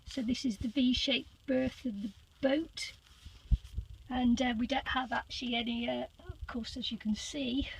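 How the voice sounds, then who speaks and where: Older woman, squeaky and high, unhurried, rambling, lots of fillers; phone recording in a tiny cabin. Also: steady tone, creaky, slight background noise, poor audio. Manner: rambling, hesitant with many fillers, giggly. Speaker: crafter giving a room tour. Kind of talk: casual live-stream tour. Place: small cabin of a sailboat used as a craft room. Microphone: handheld phone.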